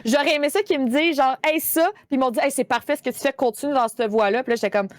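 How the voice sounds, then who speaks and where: A woman's voice: sits high, quick pace, dry recording. A woman with a Québécois French accent, chatting casually as a guest streamer, with many fillers and restarts. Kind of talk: casual podcast chat.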